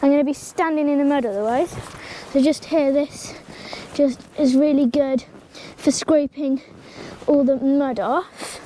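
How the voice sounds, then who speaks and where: A woman's voice: high voice, steady tone, a little slow, mellow, slight background noise, poor audio. A teenage girl with a slight cold, casually explaining horse grooming in a stable yard, full of ums and likes. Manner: chatty, rambling, many ums and likes, talks to the pony. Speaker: horse-care vlogger. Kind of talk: casual how-to tutorial. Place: outdoor stable yard beside a pony.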